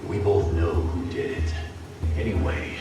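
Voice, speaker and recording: An actor playing a measured, serious lieutenant in a video game. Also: male voice, low voice, fairly even intonation. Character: measured, loyal male lieutenant to a warlord. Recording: echoey, distant, under loud music.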